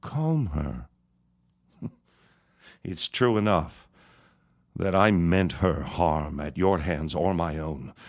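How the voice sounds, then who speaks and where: A scheming reverend with a menacing, gloating manner. His voice sits very low and swings widely in pitch. He draws every word out slowly, as in an old-time radio play. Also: expressive, vocal fry, full character voice. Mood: sinister. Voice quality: deep, slow, drawn-out menace. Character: scheming sinister small-town reverend, gloating. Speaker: Reverend Gaumont. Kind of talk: radio drama acting.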